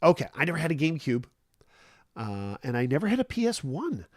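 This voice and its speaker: A man's voice: fairly high pitch, huge pitch swings, a little fast, no room sound, studio quality. Man with a stuffy, nasal cold voice. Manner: rambling and easygoing, many fillers and restarts. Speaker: livestream host. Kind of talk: casual morning chat.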